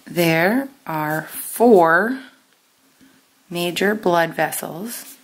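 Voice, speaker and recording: A woman teaching a step-by-step lesson in a deliberate, instructional way, pausing and stressing key terms. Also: lowish voice, poor audio. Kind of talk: step-by-step lesson with pauses for note-taking.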